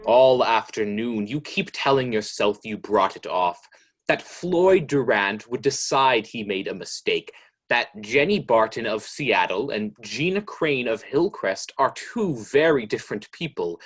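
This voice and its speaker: A man playing a knowing, ominous narrator of an old-time radio mystery, speaking in a deliberate, insinuating way. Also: mid-range voice, lively intonation, slightly rough. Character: knowing, ominous male narrator who needles the guilty heroine. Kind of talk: 1940s radio mystery narration, second person.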